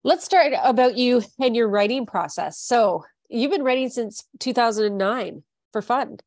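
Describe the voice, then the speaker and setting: A woman's voice: on the high side, expressive, normal speed, darkish tone, no room sound. Canadian lady hosting a chatty podcast, laughs a lot, dry close mic. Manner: bubbly, laughs often, restarts sentences. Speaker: podcast host. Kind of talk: casual interview chat.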